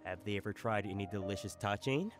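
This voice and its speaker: An actor playing a genial, teasing food and spice merchant in a video game, talkative and jovial. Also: male voice, lowish voice, quick pace, lightly acted. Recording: music playing behind the voice.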